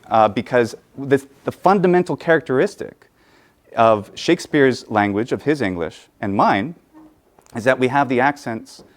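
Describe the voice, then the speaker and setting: Man giving a conference talk. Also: mid-range voice. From United States. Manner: thinking aloud with many fillers and restarts. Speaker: conference lecturer. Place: conference room.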